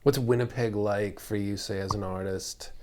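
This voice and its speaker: Relaxed male interviewer. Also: lowish voice, normal speed, slight background noise. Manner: relaxed, brief prompts and affirmations. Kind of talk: casual interview.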